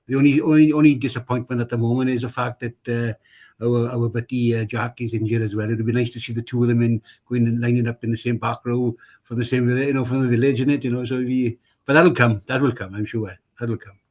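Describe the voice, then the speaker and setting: A man's voice: mid-range voice, little pitch movement, fast talker, darkish tone. A man with a Welsh accent, a rugby club chairman, talking casually on a podcast, rambling and repeating himself with many fillers.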